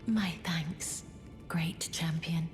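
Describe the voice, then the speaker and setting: Melancholy, gentle maiden for a fantasy game: near-whisper, very unhurried, low and courteous. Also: female voice, steady tone, over music. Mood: grateful, soft. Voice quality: soft, breathy near-whisper. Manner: slow, hushed, pleading courtesy. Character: gentle, sorrowful female deathbed companion, courtly and intimate. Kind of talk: video game voice acting.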